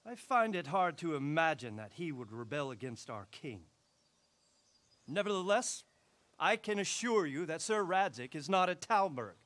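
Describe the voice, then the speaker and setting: An actor playing a courteous, shrewd castle lord in a video game, speaking in a measured, drily ironic way. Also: male voice, fairly high pitch, very animated, a bit bright. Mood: courteous, guarded. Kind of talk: scripted video game cutscene acting.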